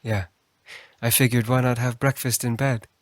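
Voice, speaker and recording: Woman putting on a low, tender young demon man. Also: steady tone, moderate pace, darkish tone, no echo, clean studio sound. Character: gentle young demon man, sweet boyfriend.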